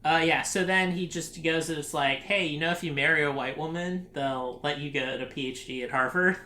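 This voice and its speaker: A man's voice: a little high, brisk, sharp tone. A man hosting a casual group podcast, rambling and sarcastic, laughing often as he talks. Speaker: podcast host. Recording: echoey room, faint noise.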